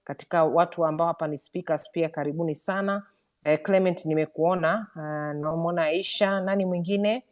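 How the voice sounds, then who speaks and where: A woman hosting a live online discussion, summarizing points quickly with frequent fillers and inviting questions. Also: lowish voice, fairly even intonation. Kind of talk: moderating a live audio discussion. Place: online audio room.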